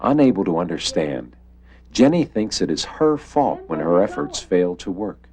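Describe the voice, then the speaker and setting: A man giving scripted, grave and measured narration for an educational film, explaining in a documentary style. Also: deep voice, sing-song, mellow, a little hiss or hum. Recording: old VHS educational film soundtrack with music.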